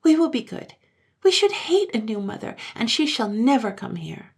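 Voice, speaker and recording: A woman voicing a group of eager young children who plead in high, urgent voices. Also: very animated, normal speed, creaky, breathy edge, full character voice.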